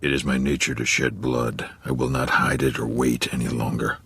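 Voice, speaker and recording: An actor playing a violent, blunt alien convict in a video game, speaking formally and menacingly in a guttural voice. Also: male voice, extremely low pitch, level tone, moderate pace, a bit bright, a little hiss or hum, heavily acted. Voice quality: guttural, gravelly. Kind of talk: video game character acting.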